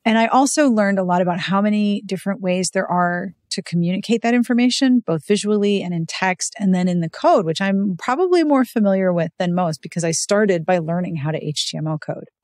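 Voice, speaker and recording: A woman's voice: medium pitch, a little fast, few pauses, darkish tone, no echo, studio quality. A woman hosting a podcast, chatting casually and expressively in an interview. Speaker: podcast host.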